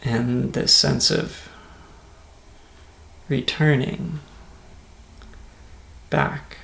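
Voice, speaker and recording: Guy doing asmr guided meditation, really gravelly fry, slow and soft, echoey room. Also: medium pitch. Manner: soft-spoken, breathy near-whisper at times, calm and soothing.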